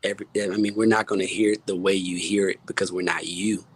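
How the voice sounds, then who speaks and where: Candid, halting man in casual conversation. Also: low-pitched, steady tone, breathy edge. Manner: halting, repeats words, candid, emphatic. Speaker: music producer, panel guest. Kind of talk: casual panel chat.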